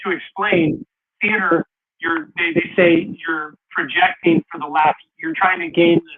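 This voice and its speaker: A male interview host with a fairly high voice asks long, wandering questions and stumbles into restarts. He sounds tinny, as if on a phone line. Clear crowd chatter runs behind him. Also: fairly even intonation, a little slow. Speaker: talk show host. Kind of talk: casual interview.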